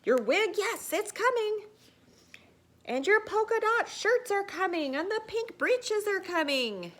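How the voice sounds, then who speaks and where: A woman's voice: very high voice, big pitch swings, unhurried, pitched above the performer's own voice, exaggerated voice. A woman voicing a noisy young colonial lad who bellows everything, in a storybook reading. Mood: loud, urgent. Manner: shouts everything like a bullhorn.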